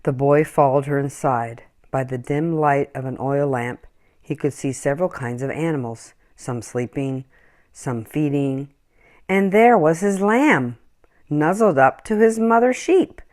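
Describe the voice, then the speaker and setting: A woman reading a children's story aloud in an expressive, acted-out storytelling style. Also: deep voice, unhurried. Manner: animated, acts out dialogue and animal sounds. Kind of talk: expressive scripted storybook narration.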